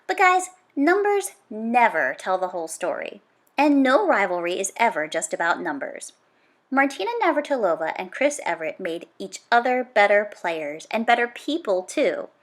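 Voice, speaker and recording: Animated woman reading a storybook aloud. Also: medium pitch. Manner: dramatic, emphatic delivery with punchy exclamations and drawn-out key words. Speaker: storybook read-aloud reader. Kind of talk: expressive read-aloud narration of a children's nonfiction book.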